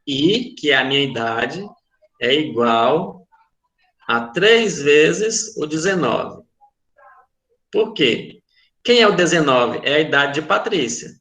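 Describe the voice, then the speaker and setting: A man's voice: a little high, lively intonation, slow, long pauses, fairly bright tone, echoey room, faint music behind. A man with a Brazilian Portuguese accent, a math teacher explaining a word problem in an online class, patiently repeating and checking that students follow.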